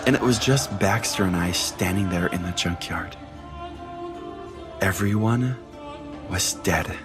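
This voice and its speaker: Brash pastor-host narrator, acted by a man, lower register, dramatic comic storytelling over prominent music. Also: measured pace. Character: Pastor Jay, brash foul-mouthed pastor and show host. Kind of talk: first-person dramatic storytelling, comedy.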